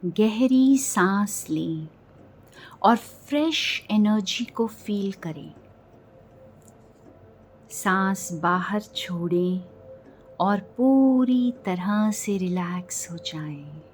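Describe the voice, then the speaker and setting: An Indian woman leading a guided meditation in a gentle, unhurried manner, leaving long pauses between phrases. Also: mid-range voice, expressive, slight background noise, low-quality recording. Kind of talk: guided meditation in a slow, soothing delivery. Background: music.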